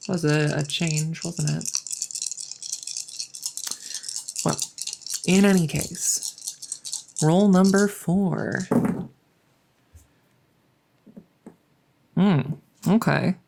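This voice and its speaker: Low, creaky, unhurried woman acting a dungeon master. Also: background noise, heavily acted. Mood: relaxed, musing. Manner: hesitant, musing, talks to listener intimately. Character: friendly female dungeon master, chatty, teasing, doting cat owner. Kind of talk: soft-spoken ASMR roleplay, casual and unhurried.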